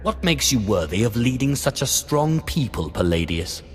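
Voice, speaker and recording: An actor playing an arrogant, menacing Sith sorcerer in a video game, with an imperious, taunting manner. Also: male voice, mid-range voice, big pitch swings, moderate pace, slight background noise, close to an everyday voice. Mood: commanding. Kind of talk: video game cutscene acting. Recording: long hall reverb over music.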